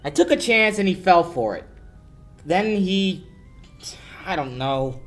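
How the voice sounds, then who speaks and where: A man's voice: high-pitched, animated, takes it slowly, a bit bright, faint music behind, heavily acted. A young man playing a tough, wry lawyer in a hard-boiled 1940s radio play. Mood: dazed, urgent. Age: young adult. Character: tough wisecracking male lawyer, suspicious and dry.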